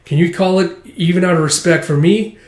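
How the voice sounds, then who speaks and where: A swaggering, scruffy manga storyteller master, as a man acts him out. He speaks loud and raised, with a hearty cackle and an exclamatory drawl, in an echoey room. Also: high-pitched, steady tone, a little fast, voice pushed up, natural voice. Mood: boisterous. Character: boisterous, swaggering old rakugo master, scruffy and laughing.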